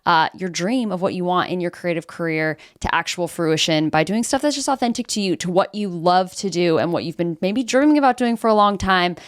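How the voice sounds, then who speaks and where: A woman's voice: fairly low pitch, a little fast, few pauses, dry recording, studio quality. An American woman co-hosting a podcast, addressing listeners in an enthusiastic, encouraging, conversational manner. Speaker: podcast co-host.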